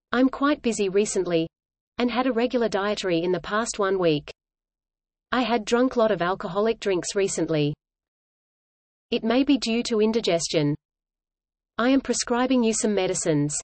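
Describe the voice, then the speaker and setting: A woman reading an English lesson dialogue aloud in a measured, even way, repeating each line for learners. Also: mid-range voice, no echo.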